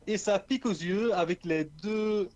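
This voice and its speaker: A man with a Belgian French accent, his voice pitched high for a man. He commentates a card game in a loose, chatty way and talks fast when he explains a play. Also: a little mellow, no echo.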